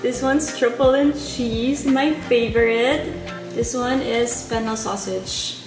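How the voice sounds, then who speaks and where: A woman's voice: a little high, steady tone, slow. A young woman from the Philippines giving a bubbly, chatty house tour for her vlog, recorded on a handheld camera. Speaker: vlogger. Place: villa rooms and poolside. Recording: echoey rooms with loud music behind.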